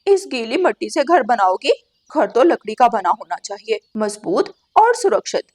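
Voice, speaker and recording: A haughty cartoon crow wife, acted out by a woman, high-voiced and scornful. She sneers and scolds, bossing everyone around her. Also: very animated, moderate pace, a little mellow. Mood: mocking.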